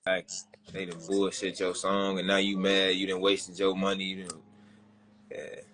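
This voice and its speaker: A young man, a rapper, with a deep voice, talking about recording in a loose, rambling way. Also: monotone, moderate pace, a bit bright. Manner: rambling, repeats himself, loose slang. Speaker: rapper being interviewed. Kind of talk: casual interview chat.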